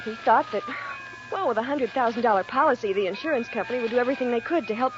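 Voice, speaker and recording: An actress playing a polite, anxious office secretary in a 1940s radio drama. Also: female voice, on the high side, fast talker, close to an everyday voice. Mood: shaken, earnest. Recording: dull, noisy 1940s radio recording.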